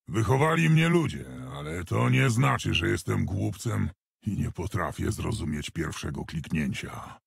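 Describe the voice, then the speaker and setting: A battle-hardened male orc warchief for a video game. The voice is low and heavily gravelled, with a husky grain. He speaks with proud command and dry, sardonic wit. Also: no room sound, exaggerated voice. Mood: irritated. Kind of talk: scripted video game hero voice lines.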